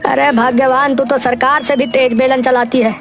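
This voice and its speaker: A very high, plaintive voice of a woman acting as a poor village farmer man in a cartoon story. He is desperate and devout, imploring with exclamations. Music plays softly underneath. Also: flat delivery, moderate pace. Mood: weary, pleading. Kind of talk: dramatised cartoon moral story.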